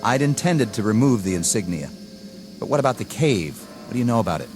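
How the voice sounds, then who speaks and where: An actor playing an arrogant, inexperienced army lieutenant in a radio drama, with a clipped, formal, commanding manner. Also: male voice, lowish voice, very animated, a little fast. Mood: controlled, firm. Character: arrogant, rigid, inexperienced young army lieutenant, eager for combat. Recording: dramatic music under the dialogue.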